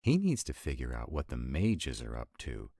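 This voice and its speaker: Vampire lover, played by a male actor, romantic audio drama: extremely low voice, dry close sound, sardonic, exasperated, affectionate. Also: huge pitch swings, moderate pace, gravelly, dark tone, dry recording, exaggerated voice. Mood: exasperated ranting. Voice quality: very deep voice. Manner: sardonic, exasperated, intimate, swooping emphasis. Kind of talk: romantic roleplay audio acting.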